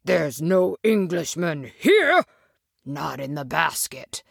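Woman voicing a slow, gravelly jolly giant. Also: low voice, sing-song, no echo, studio quality, full character voice. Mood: jovial booming. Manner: slow, drawn-out, theatrical delivery. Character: big jolly green male giant, booming, teasing.

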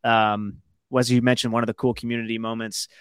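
A man's voice: medium pitch, little pitch movement, brisk, clean tone, no room sound, clean studio sound. A man hosting a podcast, chatting casually and rambling a little as he interviews his guest. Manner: conversational, rambling. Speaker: podcast host.

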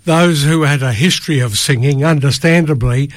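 A man's voice: on the high side, normal speed, no echo. An older man giving a prepared statement as a radio studio guest, formal and careful in his wording. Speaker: choir member, interview guest. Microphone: studio microphone.

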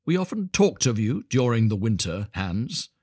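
A man voicing a pompous, self-satisfied storybook miller who moralizes in drawn-out phrases. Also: on the low side, sing-song, darkish tone, husky, no echo, clean studio sound. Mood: patronizing. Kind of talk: storybook character voice.

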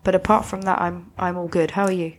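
Sniffly woman podcaster, lowish voice. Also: level tone, fast. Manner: reflective, talks in long loose explanations. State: sniffly, slightly husky from a cold. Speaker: podcast co-host. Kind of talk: casual podcast chat.

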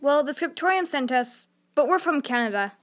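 High-pitched, eager young adventurer. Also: female voice, normal speed, soft background music. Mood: awed. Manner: slangy, expressive. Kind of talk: children's audio drama acting.

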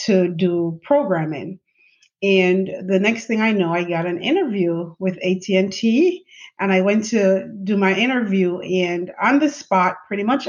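Chatty woman telling her story. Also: medium pitch. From Jamaica. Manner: animated, chatty, laughs often, many fillers. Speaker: guest, chief information officer. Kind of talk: conversational interview storytelling. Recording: slightly dull lo-fi audio.

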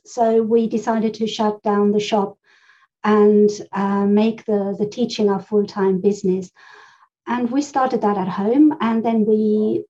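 A woman's voice: fairly high pitch, dark tone. A middle-aged woman from Germany co-hosting a podcast, telling stories in a rambling, chatty way with frequent laughs. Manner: chatty, rambling storyteller who laughs often. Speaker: podcast co-host. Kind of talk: casual conversational podcast chat. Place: home room full of books. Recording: slightly dull, rough audio.

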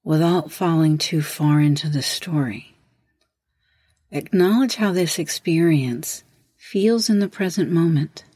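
A woman leading a guided meditation as host, giving measured, instructional prompts with long pauses. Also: deep voice, warm tone, breathy edge, no echo.

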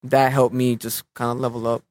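A young man of Mexican heritage, a rapper guest in a relaxed interview, talking in easy slang and letting his phrases fade out quietly. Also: medium pitch, brisk, dry recording, studio quality. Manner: laid-back, slangy, trails off softly at phrase ends.

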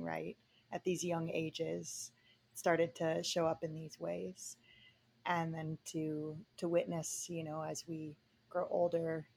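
Slow, soft-spoken woman with faint background hiss. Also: lowish voice, creaky. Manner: slow, thoughtful, with many pauses and fillers. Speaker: podcast co-host. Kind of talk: casual conversation.

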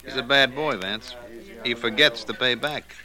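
An actor playing a cocky, evasive loan shark with streetwise slang in 1940s radio detective style. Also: male voice, mid-range voice, measured pace, bright, forceful tone. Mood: cagey, cocky. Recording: old radio recording with faint noise.

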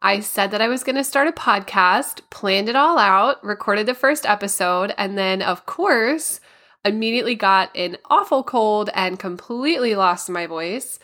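Slightly raspy, bright-voiced woman podcaster. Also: mid-range voice, lively intonation, moderate pace. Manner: practical, step-by-step explaining. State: slightly hoarse from a cold. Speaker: podcast host. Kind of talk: solo podcast monologue.

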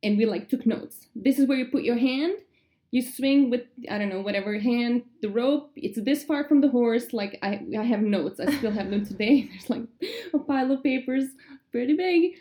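A woman's voice: a little high, moderate pace. Enthusiastic woman guest from Croatia. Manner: lively, laughing, enthusiastic recollection. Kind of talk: casual interview chat.

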